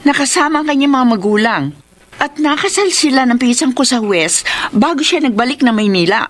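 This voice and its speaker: An actress playing a self-assured, insistent young gossip in a Filipino radio drama. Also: female voice, sits high, animated, brisk, a little hiss or hum. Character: insistent gossiping young woman, sure of herself.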